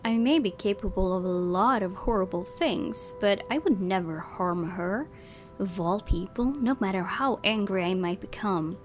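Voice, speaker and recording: A woman's voice: mid-range voice, animated, moderate pace. A woman hosting a gaming video, reading a visual novel's narration aloud and dropping in casual asides. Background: game music.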